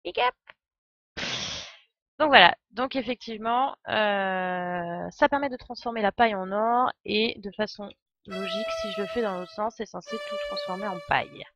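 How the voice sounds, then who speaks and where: A woman's voice: medium pitch, moderate pace, a bit bright, soft background music. A woman giving casual live game commentary as a streamer, chatty and playful, wandering into asides.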